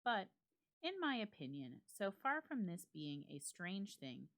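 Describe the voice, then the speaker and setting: A woman reading a classic ghost story aloud as a dramatic narration, in a measured, formal literary delivery. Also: low voice. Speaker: library staff member reading a story aloud.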